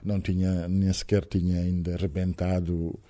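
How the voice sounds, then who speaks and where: A middle-aged man from Senegal with a French-tinged Senegalese accent, answering questions in an interview in a measured, reflective way with frequent hesitations. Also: low-pitched, little pitch movement, dark tone. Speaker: guest. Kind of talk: interview conversation.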